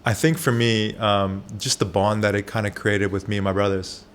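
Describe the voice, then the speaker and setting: A man who grew up in Japan, a barber, answering interview questions candidly, with soft pauses and frequent fillers. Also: lowish voice, little pitch movement, slight background noise.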